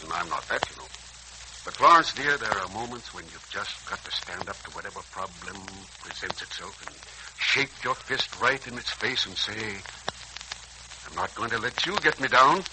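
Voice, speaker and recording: A man's voice: mid-range voice, lively intonation, normal speed, close to an everyday voice. Through heavy old-radio noise comes a kindly older American uncle played by an actor. He talks in a folksy, earnest, philosophical way, in an average male register, in 1940s serial style. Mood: modest, reflective, then earnest, resolute. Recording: noisy, scratchy 1940s radio recording.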